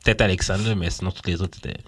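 A man's voice: sits low, fairly even intonation, rapid-fire, a bit bright, a little hiss or hum. A man with a Quebec French accent chatting casually as an interviewer, slangy and teasing, mixing English into his French. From Quebec, Canada. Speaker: interviewer, co-host. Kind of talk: casual chat.

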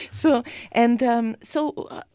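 A woman hosting a radio interview for children, with halting, hesitant phrasing. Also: on the high side, a little mellow, smooth. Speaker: radio show host.

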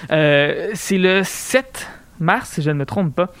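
A young man with a Quebec French accent hosting a radio show, chatting casually with jokes and quick asides. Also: sits high, lively intonation, fairly bright tone, smooth, dry recording. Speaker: radio host. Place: radio studio. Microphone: studio microphone.

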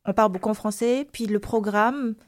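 A woman guest with a slight Malagasy French lilt talks in a relaxed, halting way, stumbling over words and repeating them as she searches for what to say. Her pitch sits in an ordinary middle range for a woman. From Madagascar. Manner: hesitant, many repeated words and fillers. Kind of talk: casual interview answers.

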